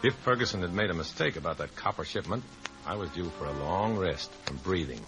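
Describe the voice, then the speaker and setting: An actor playing a wary undercover agent in an old-time radio spy drama, speaking in a terse, sardonic way. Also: male voice, deep voice, animated, brisk, music in the background, rough recording. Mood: grim narration. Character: wary, hard-boiled undercover agent posing as a comrade.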